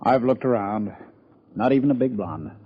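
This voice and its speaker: An American actor playing a dry, needling police lieutenant with a sardonic manner in an old-time radio detective drama. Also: male voice, medium pitch, animated, normal speed, breathy edge. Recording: muffled old radio recording.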